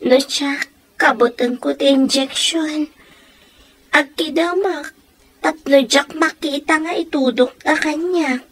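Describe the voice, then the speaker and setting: A woman's voice: high voice, slow pace. A woman acting in an Ilocano comedy radio drama, with an Ilocano Filipino accent. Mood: nervous.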